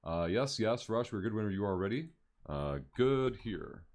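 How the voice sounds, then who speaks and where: A man's voice: on the low side, lively intonation, moderate pace, darkish tone. A man hosting a live-streamed interview, asking loose, rambling questions with enthusiasm. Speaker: host.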